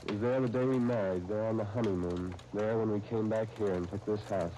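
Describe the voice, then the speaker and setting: Earnest devoted young husband, played by a man, low mellow voice, halting, old radio drama, noisy recording. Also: fairly even intonation, lightly acted. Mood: brooding, resigned. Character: earnest, long-suffering young husband Chris, hurt but devoted.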